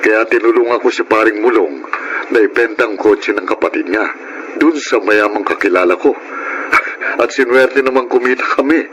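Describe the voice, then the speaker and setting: Mabait at matiising mister, ginagampanan ng lalaki, maingay na recording. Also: male voice, fairly low pitch, moderate pace, a bit bright, close to an everyday voice. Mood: apologetic, relieved. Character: patient, struggling taxi driver husband and father. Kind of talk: Filipino radio drama acting. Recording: noisy radio drama recording.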